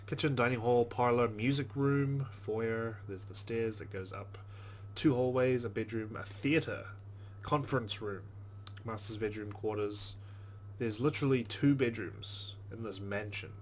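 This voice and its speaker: A man's voice: mid-range voice, measured pace, slight background noise. A man hosting a let's play, giving casual game commentary and reading the game's text aloud.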